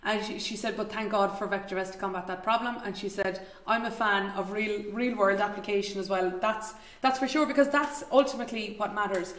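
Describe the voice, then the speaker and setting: A woman's voice: mid-range voice, fairly quick, few pauses, fairly bright tone. A woman in her thirties hosts an interview over a video call from home. She builds her questions in long, restarting loops. Her voice carries a roomy echo from the call audio. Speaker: interview show host. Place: home room, indoors.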